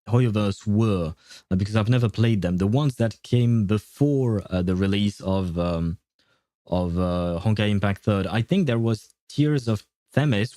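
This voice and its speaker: A man hosting a livestream, chatting casually with viewers in a halting way, often chuckling. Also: fairly low pitch, dark tone, dry recording, clean studio sound. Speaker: livestream host. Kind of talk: casual chat with live stream viewers.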